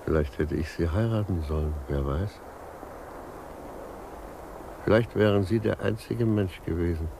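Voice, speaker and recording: Old judge, low voice, fatherly, philosophical, earnest, vintage radio play, slightly noisy recording. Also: a little slow, vocal fry, long pauses. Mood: wistful. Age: older. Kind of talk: 1950s German radio play acting. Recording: 1950s radio recording, faint noise.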